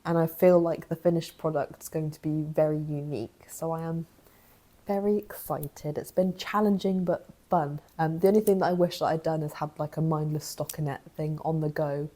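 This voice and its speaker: A young woman, croaky from a cough, chatting casually in a knitting vlog as she thinks aloud. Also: low-pitched, fairly even intonation, normal speed, mellow. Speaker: knitting vlogger.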